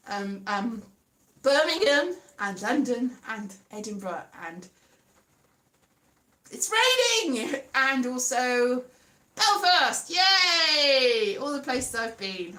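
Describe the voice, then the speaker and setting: A woman's voice: a little high, pushed and bright. A Welsh woman chatting casually and rambling, correcting herself as she goes and slipping into playful sing-song. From Wales. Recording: echoey room with faint guitar music.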